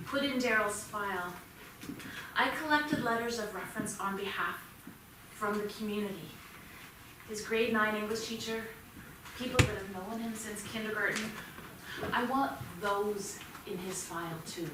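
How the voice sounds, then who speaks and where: A woman's voice: mid-range voice, unhurried, long pauses, lightly acted. Woman playing proud mom sticking up for her son in a play, earnest, distant n noisy. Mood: resolute. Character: proud, supportive, sincere mother of teenage boy. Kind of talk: staged play reading. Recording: very echoey theatre, distant mic, noisy.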